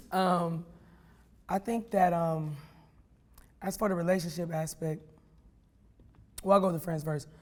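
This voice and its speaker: A woman's voice: mid-range voice, a little mellow. A woman from Oakland, a jazz artist talking in a casual interview, blunt and playful, full of slang. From Oakland, California.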